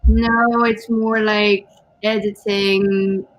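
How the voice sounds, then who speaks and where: A woman whose first language is not English, chatting casually as co-host of a writing stream, often pausing and hunting for words. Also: medium pitch, level tone, low-quality recording. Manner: hesitant, searching for words, giggly. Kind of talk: casual chat on a writing livestream.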